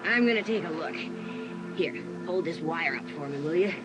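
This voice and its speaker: A woman's voice: low-pitched, animated, normal speed. A woman playing a wary police patrol officer on night duty in a dramatised audiobook. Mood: uneasy. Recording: music behind the voice.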